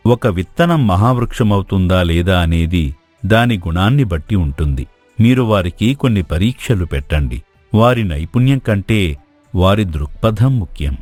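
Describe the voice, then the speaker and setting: A man voices a sage royal teacher from a moral tale, low and richly varied in intonation. He counsels with courtly, measured formality. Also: animated, a little mellow, no echo, soft background music. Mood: solemn, advising. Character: wise, courteous royal guru advising a king. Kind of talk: dramatised moral storytelling.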